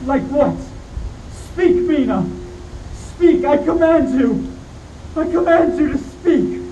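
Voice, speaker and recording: A man's voice: extremely high pitch, expressive, slow, dark tone, dull audio, exaggerated voice. A man playing a commanding vampire hunter with an urgent, declamatory delivery in a 1930s-style radio drama. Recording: distant, echoey stage mic with hiss.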